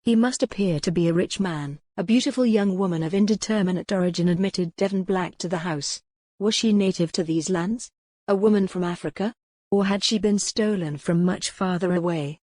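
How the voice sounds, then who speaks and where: A woman narrates a historical novel in a fairly low voice. Her delivery is measured and dramatic, recorded close and dry. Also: dark tone, no echo. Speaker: audiobook narrator. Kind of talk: scripted dramatic fiction narration.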